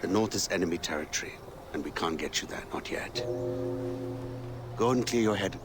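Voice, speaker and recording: An actor playing an earnest male rebel leader in a video game cutscene, intense and brotherly, swinging between hushed and shouted lines. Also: deep voice, expressive, a little fast, music in the background. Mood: firm.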